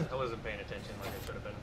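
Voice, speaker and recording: A man's voice: fairly low pitch, sing-song, quick pace, vocal fry. A man in his late twenties casually explaining a tank game while playing, as stream co-host. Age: 20s. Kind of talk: casual gameplay commentary. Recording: loud background noise from game audio.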